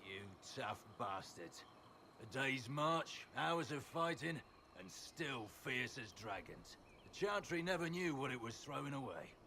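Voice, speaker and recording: An actor voicing a battle-worn villainous general in a video game, proud and defiant, with blunt, taunting soldierly speech. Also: male voice, medium pitch, very animated, measured pace, sharp tone, slight background noise, exaggerated voice. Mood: grudging, taunting. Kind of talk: dramatic video game dialogue.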